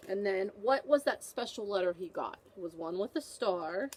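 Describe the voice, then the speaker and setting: A woman's voice: mid-range voice, animated, moderate pace, a little mellow. A woman teacher reading a picture book aloud to children, with an instructive manner, repeating questions to prompt her young listeners.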